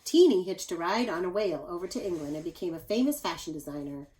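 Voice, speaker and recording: A woman's voice: fairly low pitch, animated, fast talker, a little mellow, rough recording. A woman reading a children's story aloud expressively, like a teacher, with asides to young listeners. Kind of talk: expressive picture-book read-aloud.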